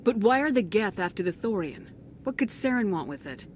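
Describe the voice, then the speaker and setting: A woman's voice: mid-range voice, big pitch swings, fairly quick, a little mellow, close to an everyday voice. A resolute military commander played by a woman in a science fiction game. She speaks firmly and with authority, giving every phrase the weight of command. Faint ambient noise sits behind the voice. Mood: stern. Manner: firm, commanding. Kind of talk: dramatic video game acting.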